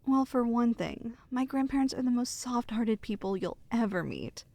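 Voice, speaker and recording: Woman acting as a lively, high-voiced affectionate girlfriend. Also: normal speed, no room sound. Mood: playful, teasing. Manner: playful, reassuring, storytelling to one listener. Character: affectionate, chatty, teasing girlfriend reassuring her nervous partner. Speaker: ASMR roleplay performer. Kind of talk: ASMR girlfriend roleplay, intimate casual chat. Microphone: close studio mic.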